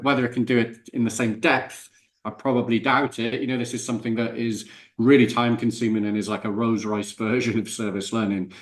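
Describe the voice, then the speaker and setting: A man's voice: on the low side, reverberant. A man chairing a university panel session, talking informally with small repeats. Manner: informal, thinking aloud with repeats.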